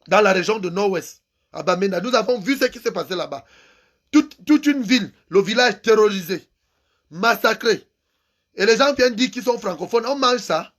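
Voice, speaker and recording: A man giving an angry, impassioned political rant, hammering home repeated phrases. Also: high voice. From Cameroon. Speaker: activist vlogger.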